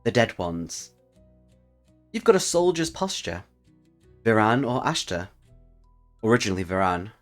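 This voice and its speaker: A man acts out a dry, blunt old woman from a dark fantasy tale, in a low register. The old guild leader is terse and unimpressed, with soft music underneath. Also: big pitch swings, unhurried, long pauses. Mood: grave.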